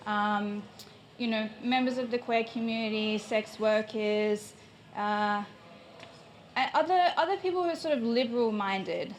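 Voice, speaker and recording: A woman's voice: on the high side, a little slow, a little hiss or hum. A young woman from New Zealand speaks at a live gallery talk, reflective and a little hesitant. She often thinks aloud as she answers. Faint room ambience sits behind her handheld mic. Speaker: painter, invited artist. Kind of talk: live public conversation. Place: gallery space with an audience. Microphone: handheld microphone.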